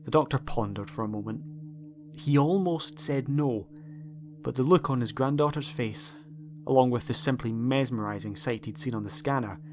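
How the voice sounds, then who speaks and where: A man's voice: medium pitch, normal speed. A man narrating a scripted audiobook story in a dramatic storytelling style. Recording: music behind the voice.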